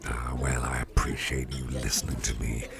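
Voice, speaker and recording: A man playing a friendly, rambling boss in an ASMR roleplay, chatting personally to the listener in a deep, gravelly voice. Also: level tone, heavily acted. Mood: confiding. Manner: rambling, chummy, halting, repeats phrases. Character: friendly, rambling male boss at a Halloween party. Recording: music playing behind the voice.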